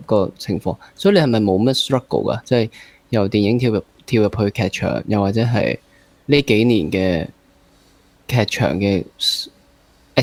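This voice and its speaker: A man with a Hong Kong accent hosting a casual interview, feeling his way through halting phrases with some English words mixed in. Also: fairly low pitch, a little mellow, no room sound. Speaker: interview host.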